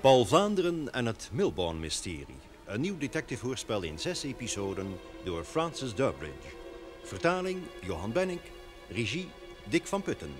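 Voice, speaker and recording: A man's voice: mid-range voice, sing-song, normal speed. A man announcing radio drama titles in a dramatic, scripted old radio style. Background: title music with strings.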